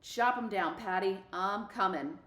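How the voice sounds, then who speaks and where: A woman's voice: mid-range voice, unhurried, a bit bright, close to an everyday voice. A woman voicing a fiercely competitive, sassy middle-school girl runner, telling her story with slangy, emphatic delivery in a storybook read-aloud. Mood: driven, urgent. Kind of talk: expressive audiobook-style read-aloud. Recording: echoey room.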